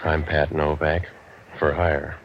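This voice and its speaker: An American man playing a cynical, wisecracking hardboiled waterfront detective in an old radio drama, with a deadpan, sardonic delivery. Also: a bass voice, slow, heavy background noise, heavily acted. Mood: wry, world-weary. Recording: muffled old radio broadcast recording.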